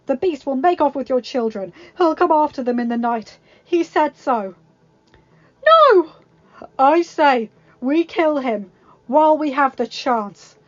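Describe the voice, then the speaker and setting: A woman's voice: a little high, a little slow, a little mellow, close to an everyday voice. A woman doing a sneering, arrogant young hunter. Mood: rousing. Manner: sneering and boastful. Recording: dull lo-fi sound with music.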